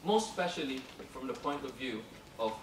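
A man giving an academic lecture, halting and full of fillers, switching between English and Cantonese. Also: medium pitch, expressive. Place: lecture room. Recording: echoey room, distant, noisy.

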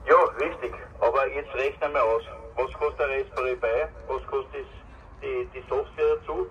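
A man's voice: mid-range voice, fairly even intonation, normal speed, a bit bright. Austrian man over muffled, noisy CB radio. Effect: voice through a CB radio speaker. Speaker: CB radio operator running a gateway. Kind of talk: casual radio chat. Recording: muffled noisy radio speaker, picked up outdoors.

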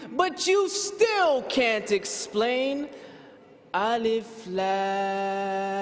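A man in his thirties performing rhythmic, rhyming spoken word poetry at a podium on a large conference stage, moving between soft lines and shouts. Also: high-pitched. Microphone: podium microphone. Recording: hall reverb.

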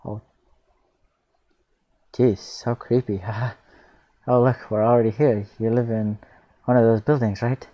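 Male online reader-reactor, mid-range voice, casual and expressive, close dry recording. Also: medium pitch, measured pace, long pauses, dry recording, dull audio. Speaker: reactor reading a horror comic aloud. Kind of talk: casual read-aloud with spontaneous reactions.

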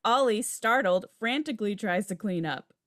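A woman narrates stage directions from a script. Her pitch is average for a woman, and the sound is dry with little room in it. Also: lively intonation, normal speed, fairly bright tone, no echo. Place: indoor room on a video call. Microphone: video call.

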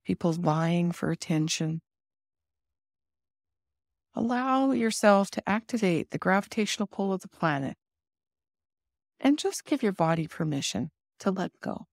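A woman leading a guided meditation in a soothing, instructive manner with deliberate pauses. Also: fairly low pitch, animated, no room sound, clean studio sound.

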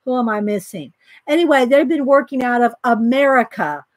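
A chatty woman with a high, bright voice, hosting a live art stream and greeting viewers in chat by name. She reads aloud from a book and giggles often. The sound is close and dry, with no room echo. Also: expressive, moderate pace. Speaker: art livestream host.

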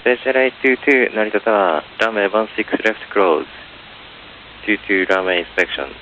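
A man's voice: fairly low pitch, animated, moderate pace. Male controller on hissy radio. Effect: aviation radio. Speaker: air traffic controller. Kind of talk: radio air traffic instructions. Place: air traffic radio channel. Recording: narrowband radio transmission with hiss.

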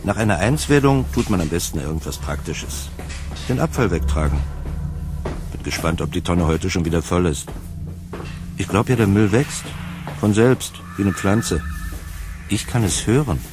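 A man playing a lonely, odd outcast in a radio drama monologue, musing haltingly to himself. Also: sits low, music in the background, lightly acted. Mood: brooding, wondering. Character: lonely, odd, brooding male outcast in an empty building.

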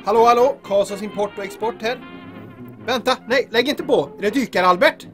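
An actor playing a harried, shifty smuggler in a comedic audio drama, hasty and exasperated in manner. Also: male voice, sits high, fairly bright tone, soft background music. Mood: furtive. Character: harried, shifty smuggler taking a secret phone call.

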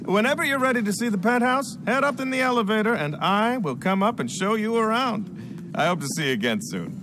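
An actor playing a fussy, eager-to-please casino guest services director in a video game, with a formal, obsequious, easily flustered manner. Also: male voice, sits high, very animated, brisk, background noise. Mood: showy, eager. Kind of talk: video game cutscene acting. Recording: music bed behind the voice.

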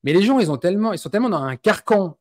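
A man's voice: high voice, animated, racing, darkish tone. A man in his forties with a France French accent, hosting an interview and speaking outspokenly and with indignation into a studio mic. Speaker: host. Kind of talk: interview, passionate opinion. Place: small indoor room. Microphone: studio mic on stand.

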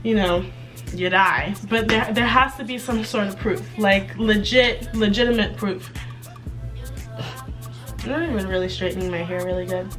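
Slightly hoarse young woman vlogging. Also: medium pitch, moderate pace, pauses often, music in the background. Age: young adult. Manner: rambling, talks while straightening her hair. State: slightly hoarse from recent laryngitis. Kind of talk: casual chatty vlog update. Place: bedroom with clothes rack, indoors.

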